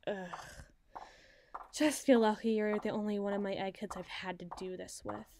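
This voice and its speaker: A woman acting a sardonic, fast-thinking, bossy secret agent in an ASMR roleplay audio drama, wordy and wry. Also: medium pitch, steady tone, gravelly, darkish tone, slight background noise, close to an everyday voice. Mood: hushed, conspiratorial. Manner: wry, wordy, teasing, flustered when challenged.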